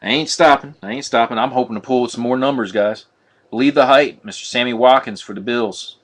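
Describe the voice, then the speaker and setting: A man hosting a live card break on a stream, talking viewers through each pull in a flat, monotone way. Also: mid-range voice, brisk. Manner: monotone, says so himself; chatty, addresses viewers as guys. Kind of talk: casual live-stream commentary.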